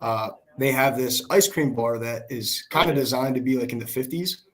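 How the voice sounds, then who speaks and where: A man in his twenties with an American accent and a hoarse voice, chatting casually as a guest. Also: medium pitch, moderate pace, rough recording. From Pennsylvania, United States. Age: 20s. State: hoarse from shouting. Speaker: guest, small business worker. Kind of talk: casual chat. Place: video call.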